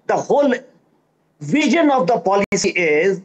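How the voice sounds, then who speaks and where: A man's voice: high voice, sing-song, unhurried, low-quality recording. A man from Bihar with an Indian English accent, whose first language is Magahi, speaking as a linguist guest on a TV panel debate and explaining his points insistently.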